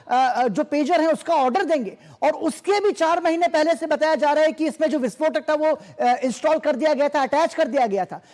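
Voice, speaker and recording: High-pitched male news anchor in reverberant sound. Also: a little mellow. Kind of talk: live news interview.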